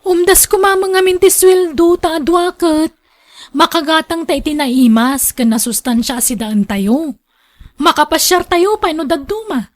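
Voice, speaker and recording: A practical, nagging housewife played by a woman in a radio drama. She has a high-pitched voice and an Ilocano Filipino accent, with an exasperated, scolding manner. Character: thrifty, nagging wife and mother, practical and exasperated.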